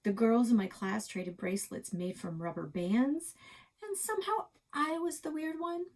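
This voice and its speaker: A woman reading a children's book aloud in an expressive, dramatic storytelling style. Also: on the high side, normal speed. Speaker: library read-aloud reader.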